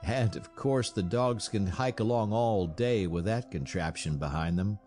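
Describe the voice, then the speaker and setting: A man's voice: lowish voice, measured pace, a little mellow, faint music behind, lightly acted. A man voicing a plain-spoken, wry frontier onlooker in an audiobook, with a blunt, colloquial manner. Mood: mild, doubtful.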